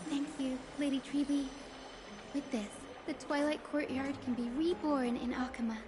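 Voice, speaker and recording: An actress playing a polite, earnest young woman who speaks softly. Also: high-pitched, fairly even intonation, moderate pace, a crowd in the background, natural voice. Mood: polite, warm.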